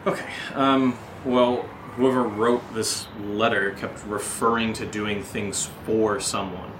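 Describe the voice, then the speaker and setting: Audio drama: hesitant male investigator, acted by a man, naturalistic and uneasy; roomy sound with loud hum. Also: on the low side, steady tone. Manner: halting, hesitant. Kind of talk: amateur audio drama, naturalistic dialogue and letter reading. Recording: roomy echo, loud air-conditioner-like hum.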